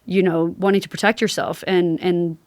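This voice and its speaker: A woman's voice: lowish voice, level tone, moderate pace, darkish tone, no echo. A woman hosting a conversational interview, speaking in an affirming, explanatory way as she frames questions for a guest. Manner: affirming, frequent short agreements. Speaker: podcast host.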